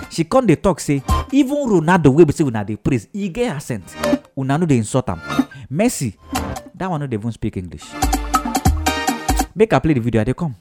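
A man with a Nigerian Pidgin accent, hosting a casual, teasing gossip show. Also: fairly high pitch. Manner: animated, chatty, teasing. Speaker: radio show host.

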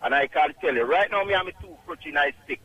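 A middle-aged man from Jamaica with a Jamaican accent, calling in to a radio show and speaking in an emphatic, halting, repetitive way. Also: fairly high pitch, animated, pushed and bright, lo-fi sound. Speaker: caller. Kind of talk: radio call-in testimonial.

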